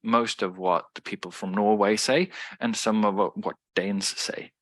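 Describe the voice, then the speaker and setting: A lecturer with a lowish voice and a bright, forceful edge, recorded dry and close. He is a native Swedish speaker who explains step by step, with many fillers and restarts. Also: fairly even intonation, unhurried, no room sound. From Sweden. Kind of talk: online lecture.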